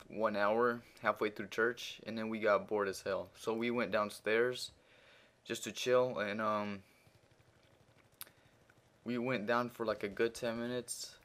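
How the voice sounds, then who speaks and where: Swearing Russian-accented teen gamer. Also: male voice, lowish voice. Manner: crude, profane, rambling with many fillers; grows louder and heated while retelling the argument. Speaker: gaming commentator. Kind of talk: casual storytelling over gameplay.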